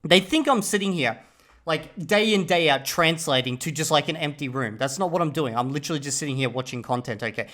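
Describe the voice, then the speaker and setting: Exasperated vlogger man, rather high voice. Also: fairly quick. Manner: animated, exasperated ranting with swearing; translates clips aloud line by line. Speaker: language-learning vlogger. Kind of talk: casual commentary reacting to clips.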